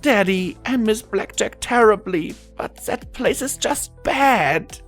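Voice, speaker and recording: A man voicing a young female pony, a daughter who is cheeky and sulky, expressive in a storybook reading. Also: sits high, slow, soft background music, voice pushed up, heavily acted. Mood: worried. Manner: pleading, sly, expressive.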